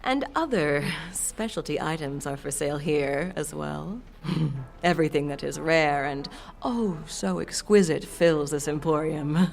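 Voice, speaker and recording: Low-voiced, coy fiend shopkeeper played by an actress. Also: female voice, measured pace, gravelly, a little mellow, a little hiss or hum. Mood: alluring sales patter. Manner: coy, theatrical saleswoman with chuckles and purring flourishes. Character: playful, sultry half-demon fiend woman shopkeeper. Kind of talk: audio drama acting.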